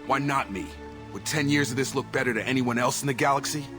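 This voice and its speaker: A man's voice: mid-range voice, fast, lightly acted. A young man playing a principled, bitter soldier son in a video game, blunt and accusing. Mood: angry. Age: young adult. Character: bitter, principled soldier son confronting his disgraced father. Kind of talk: dramatic video game voice acting. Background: cinematic background music.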